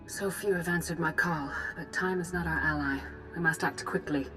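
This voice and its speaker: A woman playing a grave, composed Jedi master in a video game, giving formal briefings with measured authority. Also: sits low, fairly even intonation, music in the background, close to an everyday voice. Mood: grave, urgent. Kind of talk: formal dramatic mission briefing.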